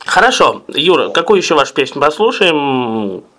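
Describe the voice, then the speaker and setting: Male radio interviewer, voice a little high for a man. Informal and chatty, quick to interject small agreements. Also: very animated, a bit bright. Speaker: radio host. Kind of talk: casual interview chat. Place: radio studio.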